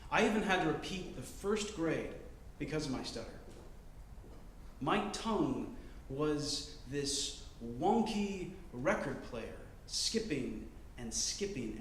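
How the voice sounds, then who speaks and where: A man in his twenties telling a story on stage, choosing and enunciating each word carefully, with deliberate pauses. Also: a little high, lively intonation, slight background noise. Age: 20s. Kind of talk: live storytelling performance. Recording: roomy live-venue sound.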